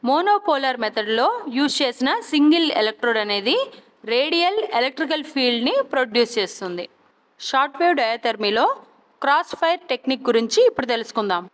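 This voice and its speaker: Telugu-accented lady teaching from a script, pretty high voice, carefully spelled out terms, really reverby sound. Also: fairly bright tone. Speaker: physiotherapy lecturer. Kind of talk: scripted educational narration. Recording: long reverberant echo.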